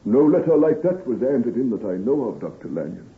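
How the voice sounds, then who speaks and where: A man's voice: medium pitch, sing-song, brisk. A dutiful butler in a vintage radio play. His deferential, formal speech lilts up and down. The recording is echoey and very narrow. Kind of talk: old-time radio drama acting. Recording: echoey, tinny old-time radio recording.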